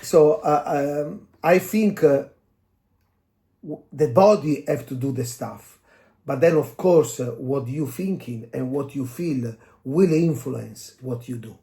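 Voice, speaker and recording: A man who speaks English as a second language, explaining as a dance coach in a casual, halting discussion, searching for words and often adding "you know". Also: mid-range voice, mellow. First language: not English. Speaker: dance coach and podcast co-host.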